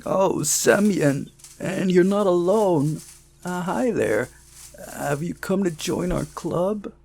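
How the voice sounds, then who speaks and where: Man putting on high-pitched earnest young fellow. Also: animated, slow pace, gravelly, a little mellow, heavily acted. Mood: welcoming. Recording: music behind the voice.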